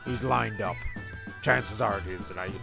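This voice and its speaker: A man, narrating and voicing characters in a deadpan, exasperated way. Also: on the low side, moderate pace, gravelly, over music. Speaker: narrator and show creator. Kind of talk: comedy narration.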